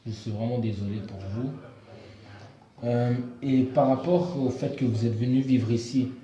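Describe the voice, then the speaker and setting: A man's voice: medium pitch, a little slow, warm tone, muffled recording. A man interviewing someone in a formal, polite way, often stopping to hesitate and correct himself. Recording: echoey room.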